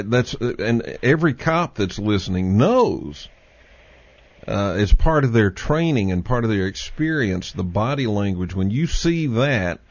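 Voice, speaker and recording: A man's voice: fairly low pitch, a little mellow, dry recording, lo-fi sound. Texan man hosting a talk show. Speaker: host. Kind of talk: casual chat on a call-in show.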